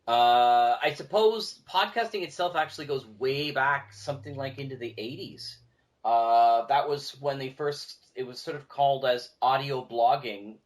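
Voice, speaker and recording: A man with a medium-pitched voice chats as a podcast guest. He explains and recounts in a discursive, casual way, wandering through details as he goes. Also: normal speed. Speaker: podcast guest, audio drama producer. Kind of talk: casual conversational storytelling.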